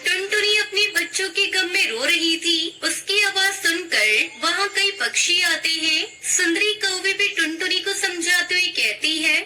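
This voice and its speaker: Very high-pitched woman narrating. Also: a little slow, few pauses, sharp tone, rough recording. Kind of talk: scripted children's story narration. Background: music.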